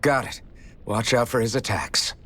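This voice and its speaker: An actor playing a wry, determined young swordsman in a fantasy video game, husky and plain-spoken. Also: medium pitch, fairly even intonation, moderate pace, bright, forceful tone. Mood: calm, determined. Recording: faint game music under voice.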